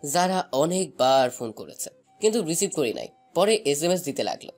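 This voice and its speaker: A man's voice: a little high, sing-song, moderate pace, darkish tone, soft background music. A man playing a shy young college student, narrating a romantic story in the first person.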